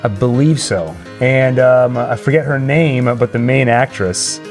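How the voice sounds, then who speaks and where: A man's voice: medium pitch, measured pace, vocal fry, a little mellow. Guy hosting a stream, chatty, talking right to the chat, faint music behind him. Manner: chatty, addresses viewers directly. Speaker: livestream host. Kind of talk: casual livestream chat. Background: game and tune music.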